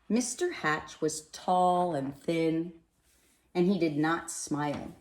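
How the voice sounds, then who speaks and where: A woman reading a children's picture book aloud in an expressive, animated way, with dramatic pauses and emphasis. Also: low voice. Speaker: storybook reader. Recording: roomy, echoey sound.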